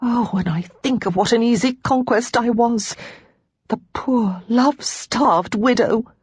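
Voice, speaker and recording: A woman narrator voicing Lara, a young widow who is ashamed, despairing and crying, in a husky voice that keeps dropping to a whisper. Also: mid-range voice, moderate pace, a little mellow, no room sound, voice pushed up. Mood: despairing, tearful. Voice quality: husky, tearful, often whispering.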